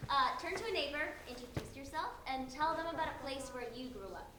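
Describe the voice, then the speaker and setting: A woman's voice: high-pitched, brisk. A young woman from Connecticut, a stage performer bantering playfully with a live audience. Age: young adult. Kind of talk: live theater address to the audience. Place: theater space with a live audience. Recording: distant, echoey theater, audience noise.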